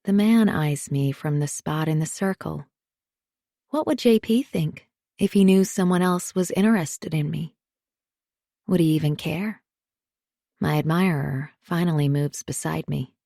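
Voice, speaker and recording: Woman, audiobook narrator, first-person storytelling, measured and confiding, gravelly texture, dry close mic. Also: deep voice, mellow, no room sound.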